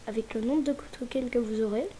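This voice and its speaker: A woman's voice: a little high, fairly even intonation, a little fast, noisy background. A woman hosting a casual gameplay tour, a little stuffy from a cold, hesitant and restarting her sentences, often muttering asides under her breath. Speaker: gaming channel host.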